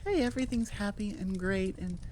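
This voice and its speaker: A woman's voice: mid-range voice, brisk, mellow, slight background noise. A woman chatting casually and enthusiastically to her listeners as an audio creator, rambling off on tangents.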